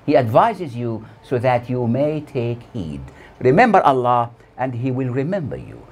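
An older man in his sixties preaches from a prepared text in a solemn manner. His pitch moves widely as he sets out each short phrase deliberately, leaving pauses between them. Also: very animated, slightly rough. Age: 60s. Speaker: preacher delivering a Friday sermon. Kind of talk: scripted sermon read from notes. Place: small plain room indoors. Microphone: lapel mic.

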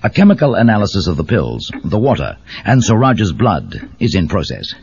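A man playing a solemn Scotland Yard inspector with a British accent, giving a formal, measured, precise briefing in a murder mystery game. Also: lowish voice, wildly swooping pitch, darkish tone, husky, dry recording, exaggerated voice. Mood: grave, formal. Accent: British English. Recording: dull, muffled cassette tape transfer.

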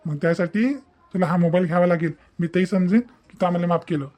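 Man as a high-pitched, earnest cartoon husband. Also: fairly even intonation, quick pace, darkish tone, lightly acted. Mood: coaxing. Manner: earnest, repetitive pleading. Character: remorseful young husband, earnest and pleading. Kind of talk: cartoon domestic story dialogue.

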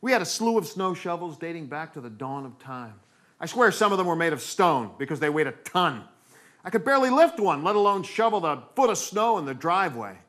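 Middle-aged man, American accent, forceful bright tone, swooping intonation, theatrical comic storyteller, dramatic. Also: a little high, a little fast. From New England, United States. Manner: animated, dramatic delivery acting out moments. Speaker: solo stage performer.

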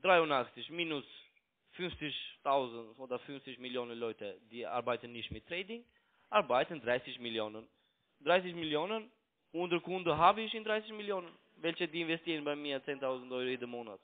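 A man's voice: on the high side, moderate pace. A man who grew up in Italy, a call center trading broker, talking in broken German on a phone call, pushy and mocking. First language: Italian. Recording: tinny phone line.

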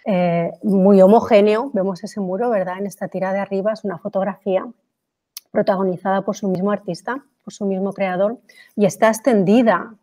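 A woman's voice: mid-range voice, steady tone, moderate pace, warm tone. Hesitant woman lecturing from slides. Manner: hesitant, many fillers and restarts; reads quotes aloud, asks rhetorical questions.

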